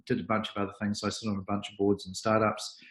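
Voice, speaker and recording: A man's voice: lowish voice, monotone, fast, a bit bright, roomy sound. A New Zealand man in his fifties, chatting over a video call, self-deprecating and full of restarts. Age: 50s. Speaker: guest, consultant. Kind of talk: casual video call chat.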